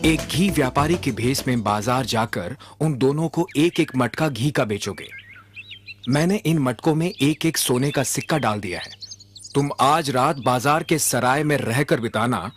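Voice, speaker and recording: A man voicing a shrewd, composed royal minister in a cartoon, speaking in a measured, courteous, assured way. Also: mid-range voice, lightly acted. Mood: assured instructing. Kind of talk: Hindi cartoon voice acting. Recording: music behind the voice.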